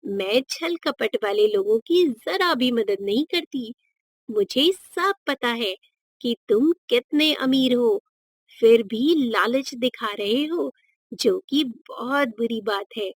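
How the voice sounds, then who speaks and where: A woman voicing a wise, motherly fairy in a cartoon story, kind but stern, with a measured, moralising manner. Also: on the high side, animated, no echo. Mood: stern admonishing.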